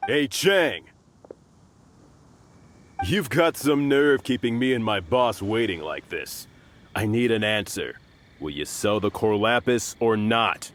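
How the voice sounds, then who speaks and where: A sneering thug enforcer. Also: male voice, fairly low pitch, expressive, slow, long pauses, a bit bright, a little hiss or hum. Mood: threatening. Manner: mocking, scornful, laughs derisively. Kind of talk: video game voice acting.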